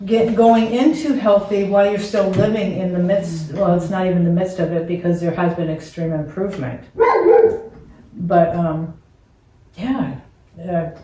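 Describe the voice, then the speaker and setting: A woman's voice: on the low side, fairly even intonation, a little slow, mellow. A woman speaking plainly and repeating herself in a casual family podcast chat. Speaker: podcast co-host. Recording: echoey room, far from the mic, faint noise.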